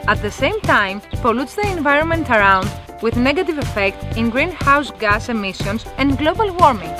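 A young woman delivers a carefully read, earnest sales pitch in a high, light voice. Faint music plays softly beneath her. She sounds upbeat throughout. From Greece. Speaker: company presenter. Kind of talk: scripted promotional pitch. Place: indoor office with bookshelves.

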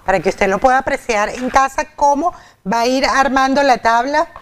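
A woman hosts a television cooking segment. Her voice sits a little high, and she keeps an upbeat tone while she speaks straight to viewers. She is recorded on a lapel mic in a studio kitchen. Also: moderate pace, a little hiss or hum. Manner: upbeat, addresses viewers directly. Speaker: TV show host.

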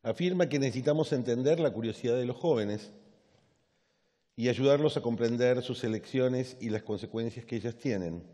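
A man's voice: mid-range voice, dark tone. A middle-aged man seated on a stage, introducing the keynote speaker in a formal manner while reading from notes. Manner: reads from paper, measured with small restarts. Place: large conference stage, seated. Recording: long reverb of a large hall.

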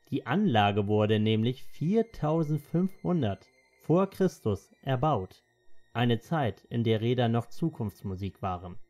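Mellow male documentary narrator over music. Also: mid-range voice.

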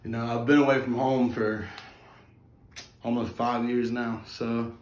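A man's voice: mid-range voice, level tone, a little slow, a little mellow, a little hiss or hum. A young man from West Virginia giving an enthusiastic, rambling tour of his sports fan room at home, filmed on a handheld phone. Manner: enthusiastic, rambling, many fillers. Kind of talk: casual home tour vlog. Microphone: handheld phone camera. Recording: echoey room, faint background ambience.

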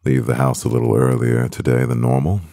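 A man acting a composed, doting CEO boyfriend in an ASMR roleplay, speaking in a measured, gravelly voice. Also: little pitch movement, mellow, no echo, clean studio sound. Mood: composed, businesslike. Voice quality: very deep, gravelly. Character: powerful male CEO boyfriend, composed, doting, gently teasing.

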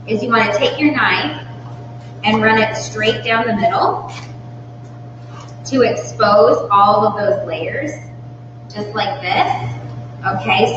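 A woman in her thirties giving an informal, step-by-step cooking demonstration as the host of a live class. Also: on the high side. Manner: informal, instructional, explains step by step. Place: indoor demonstration kitchen. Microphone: far from the speaker. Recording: distant mic, very echoey room, muffled, hum.

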